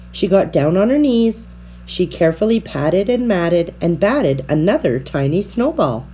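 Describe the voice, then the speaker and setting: Woman, children's read-aloud, soft mellow tone, slow pace, expressive, deliberate, slight background noise. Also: lowish voice. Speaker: storybook reader.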